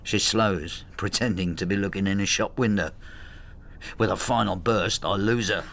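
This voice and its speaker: A man's voice: sits low, moderate pace, vocal fry, fairly bright tone, slight background noise. An actor playing an embittered, spiteful old husband who narrates his marital war in a brooding, intimate radio drama style. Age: older.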